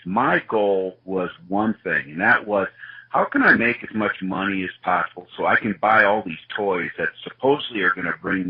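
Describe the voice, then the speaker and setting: A man with a low, husky voice, from Wisconsin, shares his story with an earnest, reflective tone. The recording is dull and lo-fi. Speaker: guest, organization CEO. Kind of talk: personal testimony in an interview. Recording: muffled lo-fi sound.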